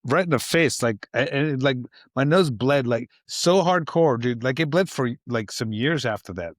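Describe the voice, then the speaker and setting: A man's voice: medium pitch, normal speed, no echo, studio quality. A man in his fifties chatting on a podcast, laid-back and slangy, often breaking into laughter. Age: 50s. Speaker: podcast co-host. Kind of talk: casual chat.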